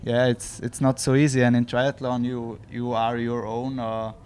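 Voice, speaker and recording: A man's voice: medium pitch, completely flat delivery, normal speed, a little mellow, a little hiss or hum. A young man from Austria with an Austrian German accent, a guest answering interview questions hesitantly with many fillers. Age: young adult. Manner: hesitant, many "uh"s, short agreeing replies. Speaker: guest athlete. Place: hotel.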